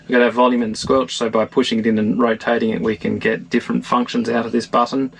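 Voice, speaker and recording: A middle-aged man giving an informal, measured technical explanation of equipment, as a technician would. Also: mid-range voice, flat delivery, creaky, fairly bright tone, slightly rough, rough recording. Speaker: marine electronics technician demonstrating equipment. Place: small wooden boat wheelhouse on the water.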